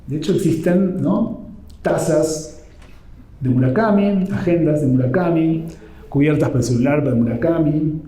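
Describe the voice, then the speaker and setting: Man, Argentine accent, conversational lecturer, dark mellow voice, roomy echo. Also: on the high side, moderate pace. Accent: Argentine Spanish. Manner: conversational, keeps adding "¿no?" at the end of phrases. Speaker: literature lecturer. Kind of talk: informal academic talk.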